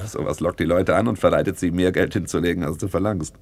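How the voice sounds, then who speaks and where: A man's voice: deep voice, quick pace. An actor playing a slick, self-important small-time crook turned businessman, pompous and self-satisfied, in a radio play. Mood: smug. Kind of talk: 1960s German radio play acting.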